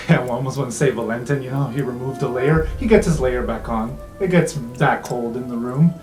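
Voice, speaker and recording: A man of middle pitch narrates as game master. He improvises the story of a tabletop game. The sound is roomy, with faint music underneath. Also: normal speed, darkish tone.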